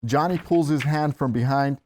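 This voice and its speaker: A man's voice: fairly high pitch, moderate pace, darkish tone. A man reading scripted stage directions as the narrator at a table read.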